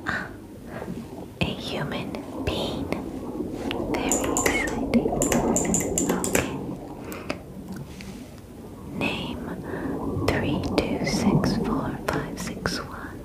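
A young woman voicing a gentle, clinical alien examiner in a breathy ASMR whisper, with halting, formal phrasing. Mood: businesslike. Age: young adult. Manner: slow, halting, formal phrasing without contractions. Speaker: ASMR roleplay performer. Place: small bedroom, indoors. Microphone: close microphone.

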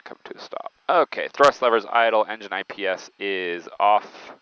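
A man flying solo and calmly talking through his landing and shutdown checklists in a small jet cockpit. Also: on the low side, normal speed, clean tone, dull audio. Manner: calm, matter-of-fact checklist callouts. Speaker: pilot. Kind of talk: solo flight vlog with checklist callouts.

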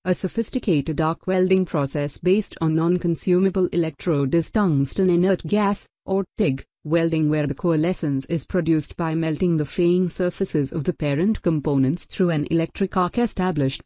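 A woman narrating a scripted technical lesson as a voice-over, in an even, measured reading. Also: on the low side, no echo, studio quality. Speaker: lecturer.

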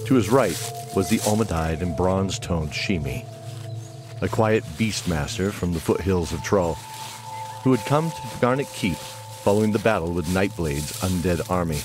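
A man's voice: sits low, lightly acted. A man narrating a fantasy audio drama in a scripted storytelling style. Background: music.

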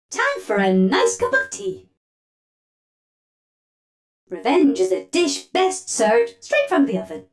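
High, sassy robot oven mom. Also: female voice, a bit bright, heavily acted. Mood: proud, sassy. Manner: theatrical, sassy, punning one-liners. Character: feisty robot oven mom who bakes and fights. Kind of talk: video game character voice lines.

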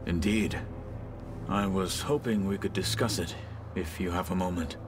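Deep, solemn, proud warrior man played by an actor. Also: fairly even intonation, close to an everyday voice. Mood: stoic, courteous. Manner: formal, stoic, deliberate. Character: solemn, proud, honour-bound male warrior. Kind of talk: video game dialogue acting. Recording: prominent music behind the voice.

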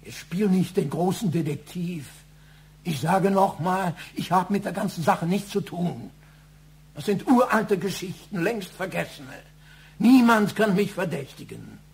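A man's voice: sits high, lively intonation, unhurried, vocal fry, slightly rough, full character voice. An older male actor playing a proud, defensive, self-righteous father in a German radio crime drama, speaking with indignant emphasis.

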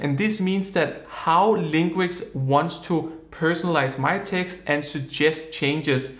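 A man, explaining a software product in a plain, upbeat review style. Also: a little high, echoey room. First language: Danish. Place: studio room with purple backdrop.

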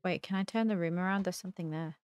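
A woman's voice: on the low side, fast talker, darkish tone, clear, resonant tone, no echo. A young woman gives casual let's play commentary, thinking aloud and questioning herself in puzzlement. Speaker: gaming video host. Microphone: close desk mic with headset on.

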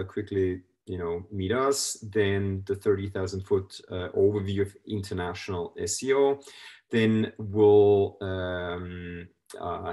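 A middle-aged man with a Swiss accent presenting a webinar over a video call, upbeat and quick with many fillers. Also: on the low side, darkish tone. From Switzerland. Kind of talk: casual presentation. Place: home room, indoors.

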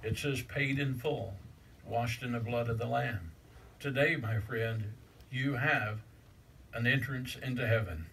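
An actor on a church stage playing a grave divine judge who speaks in formal pronouncements. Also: male voice, mid-range voice, measured pace, creaky, fairly bright tone, a little hiss or hum. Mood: solemn. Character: solemn divine male judge on a throne. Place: church stage set, indoors. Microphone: distant camera mic. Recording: distant stage voice.

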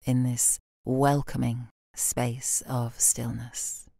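A British woman guides a relaxation meditation in a strikingly deep voice. She is calm and soothing, with a soft, creaky edge. The recording is close and dry. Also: no echo. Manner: calm, soothing, gently instructing with long pauses. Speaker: yoga nidra meditation guide.

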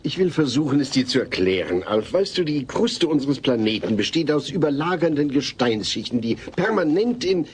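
A man's voice: on the high side, very animated, normal speed, few pauses, breathy edge, heavily acted. A man playing an earnest, patient, slightly pedantic middle-aged father in a comedy radio play. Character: earnest, fussy, patient suburban family father.

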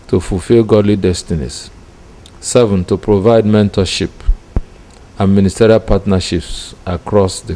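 A middle-aged Nigerian-accented man with a deep, mellow voice speaks into a microphone indoors. He sounds measured and formal, setting out points as a ministry leader. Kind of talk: explaining and reading out a vision statement. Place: indoor curtained room. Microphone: handheld-style interview microphone in front.

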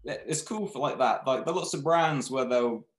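A man's voice: medium pitch, normal speed. Young man on an echoey video call. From Thailand, raised in England. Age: young adult. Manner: relaxed, rambling, frequent restarts. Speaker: clothing brand founder. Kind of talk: casual video-call interview. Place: small room indoors. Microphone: webcam video call. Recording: echoey room.

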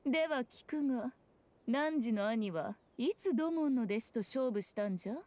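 High-voiced, haughty fox shrine maiden. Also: female voice, expressive, unhurried, a little mellow, a little hiss or hum. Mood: probing. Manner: archaic, aloof, playful lordly speech. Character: elegant, teasing, haughty fox shrine maiden of great age. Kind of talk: Japanese anime game voice acting.

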